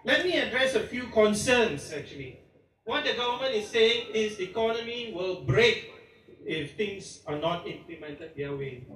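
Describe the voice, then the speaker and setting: A man's voice: high-pitched, animated, moderate pace. A Singaporean man giving a rousing speech at an outdoor protest rally, taped from far off. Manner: rousing, declamatory, chuckles between points. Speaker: protest speaker. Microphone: amateur recorder from far off. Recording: distant, echoey, loud crowd noise. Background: large cheering crowd.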